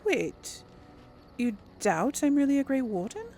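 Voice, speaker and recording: Slow-paced woman hosting a game playthrough. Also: high voice, big pitch swings, mellow, a little hiss or hum. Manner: chatty, informal. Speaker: let's play host. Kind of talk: casual gameplay commentary with role-played replies.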